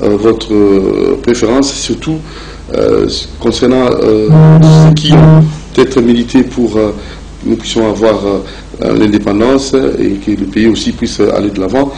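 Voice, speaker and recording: A man with a Congolese accent hosting a television interview in halting, wordy phrases. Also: on the low side, lively intonation, gravelly, over music, poor audio. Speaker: interviewer, show host.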